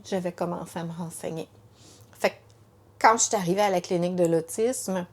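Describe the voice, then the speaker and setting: A middle-aged woman with a Quebec French accent telling her story as a mother, halting and repeating words with many fillers. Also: on the low side. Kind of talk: emotional personal testimony in conversation.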